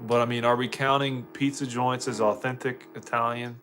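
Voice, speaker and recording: A man's voice: medium pitch, level tone, normal speed, music in the background. An American man chatting casually with viewers on a live game stream, laid-back, slangy and joking.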